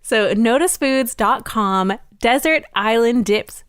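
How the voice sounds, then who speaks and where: A woman's voice: fairly high pitch, slow, fairly bright tone, clean tone, no echo, studio quality. A woman co-hosting a casual podcast, upbeat and explaining things at length with lots of "like". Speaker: podcast co-host.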